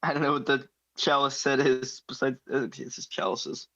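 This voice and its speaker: A man's voice: mid-range voice, level tone, normal speed, pushed and bright. A man chatting casually as a player in a tabletop game, on dull, lo-fi audio.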